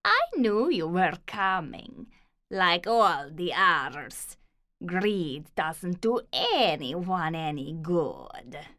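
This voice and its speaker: A woman voicing a hoarse, croaking old woman with a spooky, menacing manner. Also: on the low side, animated, bright, forceful tone, exaggerated voice. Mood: ominous. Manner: slow, drawn-out, ominous.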